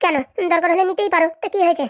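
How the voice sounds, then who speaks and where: A woman's voice: very high voice, steady tone, very fast. A woman voicing a lovesick, clingy young girlfriend in a comedy cartoon, with a pleading, insistent manner. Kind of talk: Bangla comedy cartoon dialogue.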